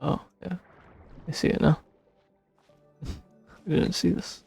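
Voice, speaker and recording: A man doing casual, offhand gameplay commentary, muttering to himself in a raspy, creaky voice. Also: fairly high pitch, steady tone, takes it slowly, darkish tone, faint music behind. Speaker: game streamer.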